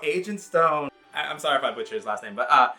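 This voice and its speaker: A man's voice: fairly high pitch, expressive, quick pace, sharp tone, faint music behind. A man around thirty giving a casual, effusive, sassy and comedic review full of slang. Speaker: YouTube movie reviewer.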